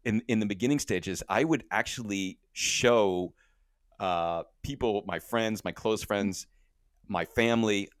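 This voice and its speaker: Guest voice: man, lowish pitch, casual and energetic, stumbles into sentences, dry close-miked sound. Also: unhurried, no room sound. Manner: energetic, repeats words when starting sentences. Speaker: podcast guest, company co-founder. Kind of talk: casual interview talk.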